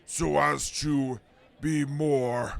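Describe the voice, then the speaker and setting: A man voicing a haughty non-human field reporter in an audio drama, formal and condescending toward humans, with a gravelly voice. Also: a little high, fairly even intonation, exaggerated voice. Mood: formal, proud. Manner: formal, pompous, condescending, deliberate. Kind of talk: mock news report in post-apocalyptic audio drama.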